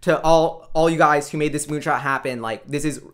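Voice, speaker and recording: Higher-pitched man, casual channel host. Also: steady tone, normal speed, fairly bright tone. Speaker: host of an investing video channel. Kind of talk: casual informal commentary to camera, addressed to followers.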